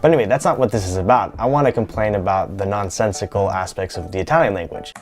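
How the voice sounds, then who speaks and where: Young American man, low playful vlog voice. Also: fast, soft background music. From United States. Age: young adult. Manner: playful, self-deprecating, lightly joking. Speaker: YouTube creator. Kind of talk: scripted vlog commentary.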